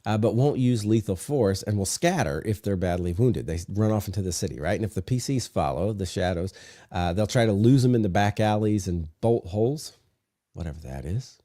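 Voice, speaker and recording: Male podcast host with a cold, deep mellow tone and a dry sound, laid-back delivery. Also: brisk, dry recording. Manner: relaxed, many filler "uh"s. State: sick, congested low voice. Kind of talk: casual podcast chat and reading aloud.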